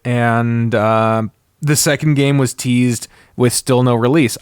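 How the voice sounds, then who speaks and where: Guy rambling on his podcast, mid pitched voice, thinks out loud n swears, really dry sound. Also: clear, resonant tone, dry recording. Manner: casual swearing. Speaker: podcast host. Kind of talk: casual solo podcast commentary on games.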